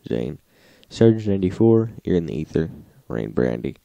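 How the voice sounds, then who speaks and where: A man with an extremely deep, heavily creaky voice reads log entries as a host. His tone is dark and mellow, his delivery plain and list-like, and the sound is close and dry. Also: very animated, normal speed, dry recording, dull audio. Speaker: host, radio log narrator. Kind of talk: scripted narration, reading logs.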